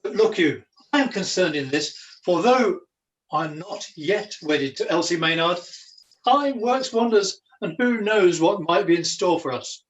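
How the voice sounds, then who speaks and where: A man's voice: high-pitched, animated, a little slow, muffled recording. A man playing a foolish, protective jester in an amateur pantomime, declaiming in mock-archaic, theatrical style. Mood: indignant, protective. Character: foolish, protective male jester, mock-grand wandering minstrel. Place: at home, indoors. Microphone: video call. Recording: echoey, distant home video call.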